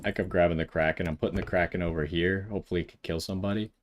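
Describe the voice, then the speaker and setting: Low-voiced man commentating his gameplay. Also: steady tone, very fast, a little hiss or hum. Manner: thinks aloud while deciding. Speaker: gaming video host.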